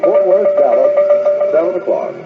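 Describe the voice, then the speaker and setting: A man's voice: fairly high pitch, animated, normal speed. A man hosting a 1970s AM radio show in sign-off patter. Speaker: radio host. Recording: tinny 1970s AM radio, music behind.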